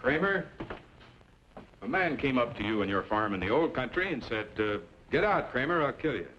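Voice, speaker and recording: A man's voice: medium pitch, big pitch swings, moderate pace, lightly acted. An actor playing a blunt, argumentative frontier townsman in an old-time radio western. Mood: challenging. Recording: muffled vintage radio recording, faint noise.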